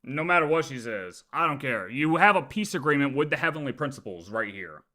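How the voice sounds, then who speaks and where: A man's voice: mid-range voice, very animated, a little fast, pushed and bright. A man doing casual live commentary as a streamer, arguing emphatically and repeating his points. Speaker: livestream host reacting to game lore.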